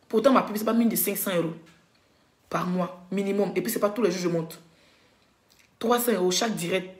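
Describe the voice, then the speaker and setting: A woman's voice: mid-range voice, a little mellow. Indignant woman with a cold, echoey room. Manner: indignant, insulting, talks to viewers directly. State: has a cold, coughing. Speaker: blogger doing a live stream. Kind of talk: heated rant on a live stream.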